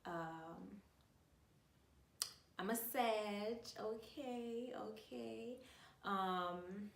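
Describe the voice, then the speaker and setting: A woman's voice: medium pitch, very slow, gravelly. Chatty young woman from New Orleans. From New Orleans, USA. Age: 20s. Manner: chatty, animated, uses lots of slang and filler. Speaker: vlogger. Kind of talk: casual storytime chat. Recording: echoey room, mic at a distance.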